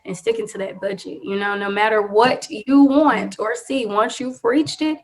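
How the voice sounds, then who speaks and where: A woman's voice: on the high side, fairly even intonation, moderate pace, rough recording. Earnest young woman giving business advice. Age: 20s. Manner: earnest, emphatic, repeats key phrases. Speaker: guest business owner. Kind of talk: casual podcast interview.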